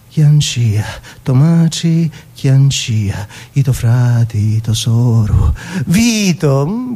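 A man acting a naive, emotional street youth from Palermo in a theatrical radio monologue, with a Sicilian accent and a childlike, halting manner. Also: a little high, mellow. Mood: bitter, brooding. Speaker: stage actor performing a monologue.

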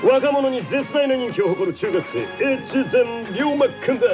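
A man's voice: extremely high pitch, lively intonation, normal speed, pitched above the performer's own voice. A man playing a slick, over-familiar radio DJ in a comic drama, with exaggerated announcer patter sprinkled with English words. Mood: showy, upbeat. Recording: slightly dull audio with music behind.

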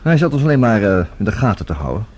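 An actor playing a sceptical, urbane bachelor journalist in a Dutch radio play, drily casual with small hesitations. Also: male voice, lowish voice, sing-song, quick pace, darkish tone, husky. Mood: confiding.

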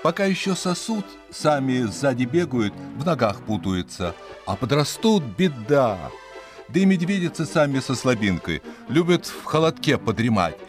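A man reading a children's animal story in a dramatic, playful storytelling manner, with shifting emphasis and pauses. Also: medium pitch, sing-song, over music. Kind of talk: expressive scripted storytelling for children. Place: studio.

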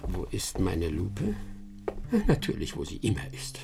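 An actor playing a proud, sharp-witted English master detective in a radio drama, self-assured and lecturing. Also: male voice, sits low, very animated, measured pace, vocal fry, loud music behind the voice, heavily acted. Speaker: detective Herlock Sholmes.

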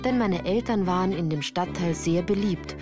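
An actress playing a sensitive woman recalling her youth, narrating a literary radio drama in a measured, reflective way. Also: lowish voice, over music, close to an everyday voice.

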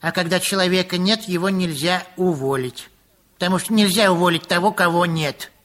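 Fussy high-voiced timid apothecary. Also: male voice, brisk, a bit bright. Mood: anxious scheming. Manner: fretful, talking to himself. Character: fussy, timid male apothecary, anxious and scheming. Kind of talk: 1960s radio play acting.

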